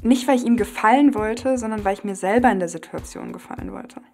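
A young woman of about twenty, from western Germany, talks openly and candidly while seated on a studio set. Her voice is mid-pitched, with faint music behind it. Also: fairly quick, darkish tone. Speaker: interviewee. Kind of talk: personal testimony to camera.

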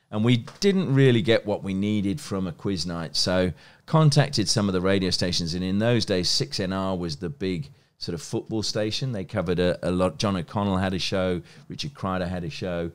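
A man's voice: sits low, smooth. A man in his fifties from England's West Country with an educated English accent, telling stories conversationally as an interview guest. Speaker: guest, sports journalist and broadcaster. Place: small plain indoor room. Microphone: close microphone on a stand.

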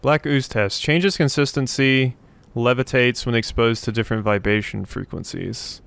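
A man with a clean, smooth voice at an average pitch hosts a gaming video. He talks casually, working things out aloud as if to himself. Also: normal speed, fairly bright tone. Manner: thinks aloud, talking to himself and the game. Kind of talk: casual let's play commentary, reading game notes aloud.

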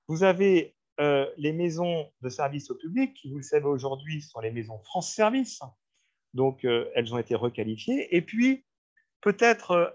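A man giving a formal, didactic training presentation on a video call, explaining slides and addressing his listeners directly. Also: mid-range voice. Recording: dull, lo-fi video-call audio.

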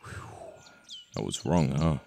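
Very deep, slow British mafia boss, acted by a man. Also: animated, warm tone, a little hiss or hum, exaggerated voice. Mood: menacing, teasing. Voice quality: deep, gravelly. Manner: slow, intimate, breathy sighs and pauses. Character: possessive, protective male mafia boss, teasing and tender. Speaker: voice actor. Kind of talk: ASMR romance roleplay. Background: birdsong, outdoor ambience effects.

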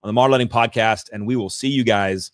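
A man in his thirties hosting a video-call podcast, talking energetically with restarts, recorded close on a studio microphone. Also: quick pace. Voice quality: self-described squeaky voice. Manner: energetic, talks with his hands, many restarts. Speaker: podcast host. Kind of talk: conversational interview. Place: home office with a studio mic on a boom arm.

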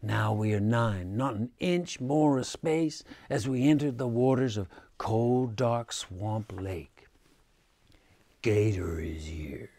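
An older man reading a children's picture book aloud with a theatrical, drawn-out delivery. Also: medium pitch, warm tone, no room sound. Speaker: storybook reader. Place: small furnished room indoors.

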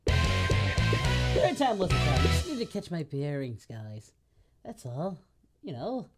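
Guy doing silly let's play commentary, character voice, really up and down, slow talker. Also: mid-range voice, wildly swooping pitch, over loud music. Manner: puts on a cartoonish character voice, exclaiming and muttering. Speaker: gaming host.

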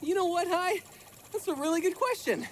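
A woman's voice: very high voice, lively intonation, moderate pace, slight background noise. A woman voicing a plucky, friendly boy hero in a children's cartoon, over music. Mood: wry. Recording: loud music behind the voice.

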